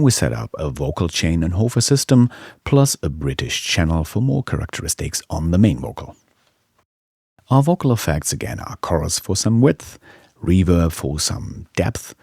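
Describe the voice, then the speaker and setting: Low-voiced man narrating an audio tutorial. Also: very animated, normal speed, darkish tone, breathy edge, no room sound, clean studio sound. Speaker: audio engineering instructor.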